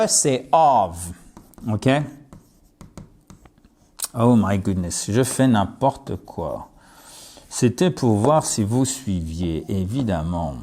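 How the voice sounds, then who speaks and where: A French man teaching English in a video lesson. He spells out English words slowly and stresses them, and he often checks in with "ok?". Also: mid-range voice, a little mellow. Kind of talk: video lesson, explaining to viewers.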